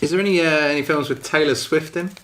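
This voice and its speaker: A man co-hosting a podcast in casual, excitable, jokey banter. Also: fairly high pitch, fast talker. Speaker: podcast co-host. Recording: faint background noise with clicks.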